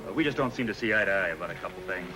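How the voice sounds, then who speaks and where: Casting: frontier lawman, American, plain-spoken, fast delivery, lowish voice, vintage Western. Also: lively intonation. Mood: genial. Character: steady, protective male deputy in the Old West. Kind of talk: 1950s TV Western acting.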